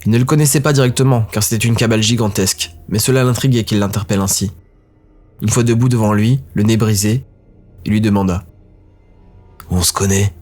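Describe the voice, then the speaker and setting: A man narrating a dark fantasy audio drama in a steady, grave way. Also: fairly low pitch, fast talker, soft background music.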